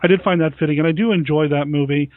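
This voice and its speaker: Higher-voiced American podcast host, man, enthusiastic. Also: fairly even intonation, quick pace, no echo, narrow, tinny audio. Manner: enthusiastic, complimentary. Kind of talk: casual podcast talk on classic monster movies.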